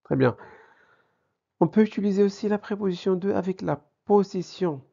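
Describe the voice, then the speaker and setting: A man's voice: sits high, moderate pace, creaky, dark tone. A man teaching a language lesson in a didactic way, posing questions and answering them himself, in a slightly dull recording. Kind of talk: grammar lesson with examples.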